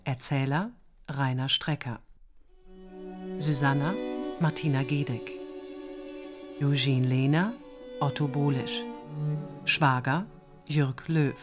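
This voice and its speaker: A woman's voice: extremely low pitch, steady tone, slow, long pauses. A woman reading scripted credits as an announcer. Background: bowed string music.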